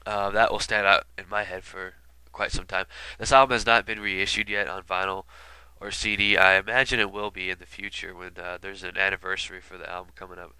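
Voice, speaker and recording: A man's voice: sits low, little pitch movement, fairly quick, few pauses, sharp tone, clean tone, dry recording, rough recording. A man with a stuffy nose from being sick, commenting casually and rambling with lots of fillers. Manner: rambling, many fillers and asides. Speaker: video commentator. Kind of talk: casual album retrospective commentary.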